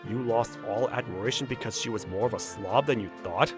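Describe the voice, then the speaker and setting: A man playing a level-headed, gently teasing young man in a manga dub, narrating and talking in a dry, blunt manner. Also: mid-range voice, brisk, over music, lightly acted. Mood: calm, probing.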